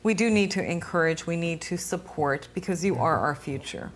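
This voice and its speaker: A woman from the United States hosting a TV interview show, encouraging and measured, with some fillers. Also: fairly low pitch, animated, a little hiss or hum. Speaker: TV show host.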